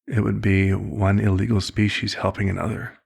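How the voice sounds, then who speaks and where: A man playing an old, compassionate vampire doctor in an ASMR roleplay, with a husky, gravelly voice and a measured, slightly formal, paternal manner. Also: lowish voice, little pitch movement, a little mellow, full character voice. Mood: earnest, soothing.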